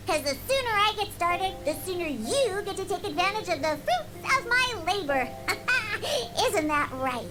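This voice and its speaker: A woman's voice: extremely high pitch, big pitch swings, a little slow, heavily acted. A woman voicing a proud, feisty young catgirl bartender in a video game, with a girlish, sassy, theatrical delivery. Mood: smug. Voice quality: girlish, childlike. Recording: game music behind the voice.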